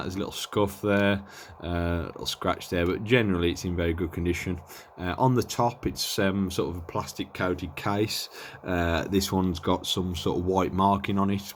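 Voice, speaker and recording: Male reviewer, deep-pitched, hesitant and unshowy, informal bench demo, slight background noise and clicks. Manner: hesitant, offhand, understated. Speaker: presenter of a radio gear review. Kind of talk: casual hands-on product demonstration.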